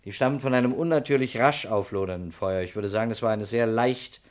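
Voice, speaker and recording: An actor playing a calm, polite and methodical male investigator in a 1960s German radio crime play. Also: mid-range voice, fairly quick, natural voice. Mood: methodical, absorbed. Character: calm, methodical male fire investigator, busy and slightly absent-minded. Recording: noisy 1960s radio recording.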